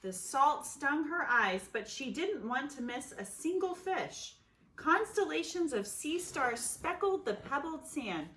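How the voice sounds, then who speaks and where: A woman reading a children's picture book aloud expressively, with dramatic pauses and emphasis. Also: sits high, big pitch swings, fairly bright tone. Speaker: storybook reader.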